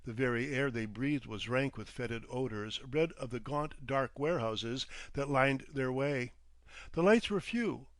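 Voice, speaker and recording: A man reading vintage detective fiction aloud as an audiobook narrator, in a measured, literary manner. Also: mid-range voice, dry recording.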